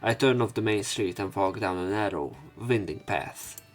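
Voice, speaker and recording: A man with a fairly low voice narrates a visual novel as a let's play host. He reads aloud theatrically and slips in casual asides. Faint music runs underneath. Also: normal speed.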